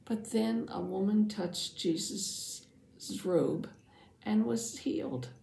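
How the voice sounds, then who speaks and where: Woman playing anxious devout father, earnest Bible storyteller, hushed phrase endings, mellow tone, roomy echo. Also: mid-range voice, slow, vocal fry, voice pushed up. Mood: tense, hopeful. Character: devout anxious father, synagogue leader, telling his testimony. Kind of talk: first-person Bible storytelling for families. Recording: roomy, echoing sound.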